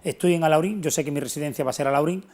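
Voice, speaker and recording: A middle-aged man speaks at a quick clip in a slightly high voice. He is a local historian being interviewed, explaining things earnestly and with emphasis. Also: darkish tone. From Antequera, southern Spain. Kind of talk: unscripted television interview answers.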